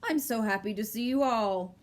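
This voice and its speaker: A smooth, overly polite storybook gentleman, as a woman reads him. He sounds genteel and courteous, a touch higher than usual. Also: moderate pace, lightly acted. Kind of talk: storybook reading.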